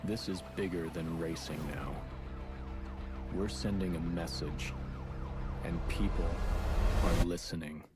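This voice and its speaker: A defiant, brooding outlaw racer in a video game cutscene. The voice sits fairly low and moves very slowly, with solemn, calculated weight on each short phrase. Loud music plays behind it. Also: male voice, fairly even intonation. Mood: defiant, resolute. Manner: measured, deliberate, solemn delivery in short phrases. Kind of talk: dramatic scripted cutscene monologue.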